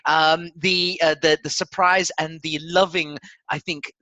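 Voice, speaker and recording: A man's voice: fairly high pitch, little pitch movement, unhurried, pushed and bright, no room sound. A man co-hosting a football podcast in a casual, matey chat, often repeating himself.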